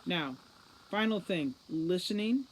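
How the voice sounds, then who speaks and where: A woman's voice: fairly low pitch, animated, takes it slowly, slight background noise, rough recording. A woman reading a storybook part as a huge male bodyguard, curt, commanding and dry. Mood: commanding, impatient.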